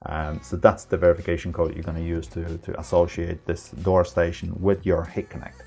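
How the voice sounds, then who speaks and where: A man with a deep, mellow voice walks through a product tutorial off the cuff. He explains each step haltingly and informally, with faint music behind him. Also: level tone, vocal fry. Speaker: product trainer, tutorial presenter. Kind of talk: informal unscripted software tutorial.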